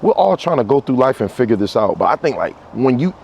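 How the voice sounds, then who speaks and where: A man's voice: mid-range voice, very animated, fast, vocal fry, a little mellow, slight background noise. A man, a parent and guest, talking casually and easygoingly with many affirming fillers. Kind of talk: casual conversation.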